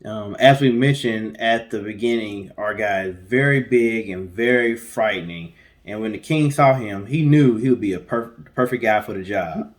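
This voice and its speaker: A man's voice: lowish voice, moderate pace. A man co-hosting a story show, telling a tale casually with chatty, colloquial, joking asides. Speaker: co-host of a story guessing show.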